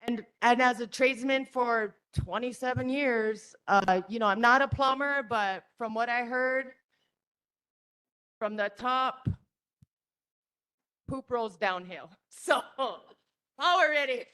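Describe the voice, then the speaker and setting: A woman testifying at a public meeting, emotional and blunt, with a naturally loud voice. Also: fairly high pitch, takes it slowly, long pauses, clean tone, poor audio. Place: community meeting room.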